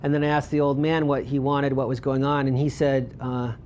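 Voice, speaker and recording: A man giving a talk about his photography work, speaking in a relaxed, rambling way with frequent ums and restarts. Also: mid-range voice, a little fast, a little hiss or hum. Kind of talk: presentation with audience questions.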